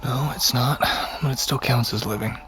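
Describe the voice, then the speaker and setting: An actor playing a self-loathing, heroin-addicted young ex-con who drinks, in naturalistic audio fiction, drowsy, slurred and bitterly sardonic. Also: male voice, medium pitch, normal speed, fairly bright tone, faint music behind, lightly acted. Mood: bleak, resigned.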